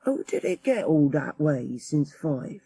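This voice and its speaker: Man doing a husky, stubborn rustic gardener. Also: a little high, sing-song, measured pace, warm tone, no room sound, heavily acted. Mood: stubborn, puzzled. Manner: rustic non-standard grammar, dogged.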